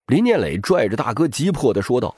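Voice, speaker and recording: A man's voice: mid-range voice, very animated, fairly quick, a little mellow, slightly rough. A man narrating a novel as a dramatic scripted audiobook.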